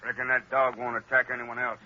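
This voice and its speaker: An actor playing a country sheriff who speaks in a dry drawl, in 1940s radio acting on a lo-fi old broadcast. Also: male voice, mid-range voice, monotone, fast talker, natural voice. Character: dry, steady rural sheriff man.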